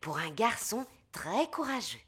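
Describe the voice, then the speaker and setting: A woman's voice: mid-range voice, sing-song, measured pace. A woman voicing a kindly mother who works as a vet in a French cartoon, coaxing and reassuring. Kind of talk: French cartoon dub acting.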